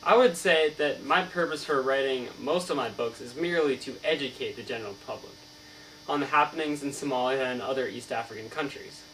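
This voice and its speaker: A man's voice: medium pitch, brisk, a bit bright, close to an everyday voice. A man playing a learned, earnest novelist in a scripted mock interview, speaking formally as if reciting and stumbling over words now and then. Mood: earnest, explanatory. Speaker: student playing an interviewed author. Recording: distant mic, clear mains hum.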